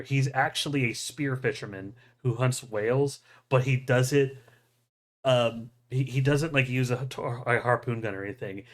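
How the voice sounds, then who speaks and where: Casual, chuckling man chatting enthusiastically. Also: medium pitch. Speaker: podcast host. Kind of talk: casual chat about anime.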